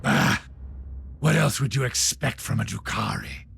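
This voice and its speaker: An actor playing a zealous, hot-tempered space soldier sergeant in a video game, gravelly and formal. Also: male voice, medium pitch, a little slow, heavy background noise. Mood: stern. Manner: vehement, formal.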